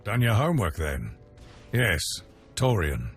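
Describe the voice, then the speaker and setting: An actor playing a proud, stern warrior camp commander in a video game, formal and curt. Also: male voice, on the low side, big pitch swings, a little slow, vocal fry, faint music behind, exaggerated voice. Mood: matter-of-fact.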